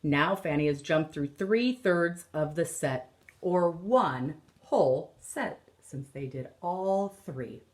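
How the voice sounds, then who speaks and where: A woman in her thirties, a teacher reading a picture book aloud to children and explaining it deliberately, with emphasis on key words, recorded in a room at home. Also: low voice, expressive. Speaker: elementary teacher.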